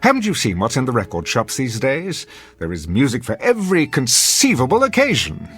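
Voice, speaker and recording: Suave, sadistic husband, wildly swooping delivery. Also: male voice, medium pitch, huge pitch swings, fairly quick, fairly bright tone, faint music behind. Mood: smug. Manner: pompous, theatrical, urbane, goading. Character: suave, sadistic middle-aged husband, amused and manipulative. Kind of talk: old-time radio drama acting.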